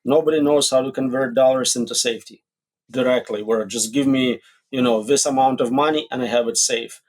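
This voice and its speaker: A man's voice: mid-range voice, fairly even intonation, fairly quick, rough recording. A man answering as an expert guest in an interview, explaining in short, plain statements with examples. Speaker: interview guest, AI safety researcher.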